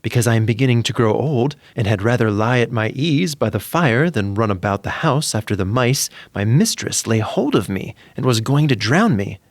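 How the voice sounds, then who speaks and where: A man's voice: medium pitch, expressive, moderate pace, few pauses, dry recording, close to an everyday voice. A man voicing an aging, rueful female cat in a storybook narration.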